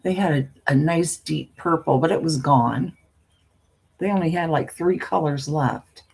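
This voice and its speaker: An older woman storyteller with a husky, unpolished voice. She takes her time, speaking slowly in a folksy, meandering way, often trailing off or repeating herself as she hosts her stream. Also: deep voice, a little mellow. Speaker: storyteller and live stream host. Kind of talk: casual rambling chat with viewers; reading a spooky story aloud.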